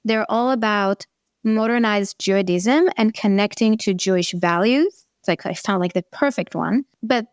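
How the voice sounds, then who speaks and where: A woman's voice: mid-range voice, unhurried, clear, resonant tone, no room sound, studio quality. A woman in her forties with an Israeli accent, a therapist talking earnestly and animatedly in an interview. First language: Hebrew. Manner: earnest, animated, swearing now and then. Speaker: therapist guest. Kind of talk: conversational interview.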